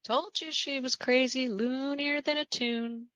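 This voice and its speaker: A fairly high, bright voice for a snide young female patient in a horror drama, read by a woman. She needles and gossips dryly, in a close, echo-free sound. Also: fairly even intonation, measured pace. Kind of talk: table read of a horror script.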